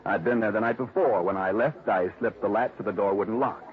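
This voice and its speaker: A man's voice: fairly low pitch, fairly quick, natural voice. An actor playing a callous, self-justifying publicity man in a 1950s radio drama, explaining himself defensively. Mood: callous, resigned. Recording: tinny, narrowband old radio recording.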